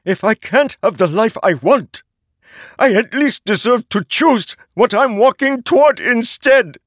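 A man voicing a young male warrior, pleading and defiant, pushing toward desperate shouts. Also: on the low side, expressive, unhurried, no room sound, studio quality, pitched above the performer's own voice. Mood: anguished.

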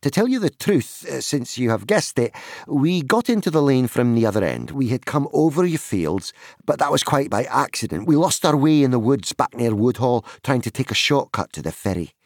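Man voicing a high-pitched, cheerful young hobbit. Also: big pitch swings, normal speed, no room sound, clean studio sound. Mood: apologetic, explaining. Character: polite, cheerful young male hobbit traveller. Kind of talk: dramatized fantasy audiobook character.

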